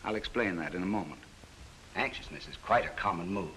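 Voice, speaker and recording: A man playing a calm, reassuring psychiatrist in a 1940s training film, explaining things in a measured way. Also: deep voice, slight background noise, rough recording.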